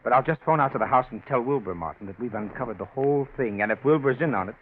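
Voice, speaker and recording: An actor playing a shrewd, probing detective in a 1940s radio drama, questioning in a deliberate, leading way. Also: male voice, mid-range voice, expressive, slightly rough. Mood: shrewd, businesslike. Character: shrewd, probing male detective working a murder case. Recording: scratchy, tinny 1940s radio recording.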